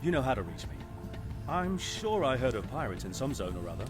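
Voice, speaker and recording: An actor playing a restless, adventurous space pilot in video game dialogue, with a casual, offhand manner. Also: male voice, mid-range voice, very animated, a little fast, over music, lightly acted. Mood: casual, evasive. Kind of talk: acted sci-fi game cutscene dialogue.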